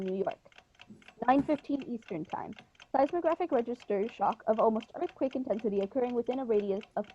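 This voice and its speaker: A young woman playing an earnest male news reporter in a radio play. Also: on the high side. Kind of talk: scripted radio play acting. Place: living room, video call. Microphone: earphones with inline mic. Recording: dull lo-fi video call audio.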